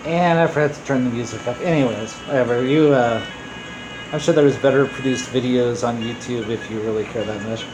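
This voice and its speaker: A man's voice: medium pitch, a little fast, noisy background. A man giving casual, off-the-cuff gameplay commentary as host of a gaming video, offhand and a little apologetic. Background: game music.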